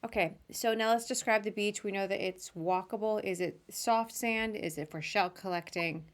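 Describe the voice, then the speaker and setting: A woman's voice: mid-range voice, normal speed. A woman hosting a podcast, chatting casually as she interviews her guest. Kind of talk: casual interview on a live video show.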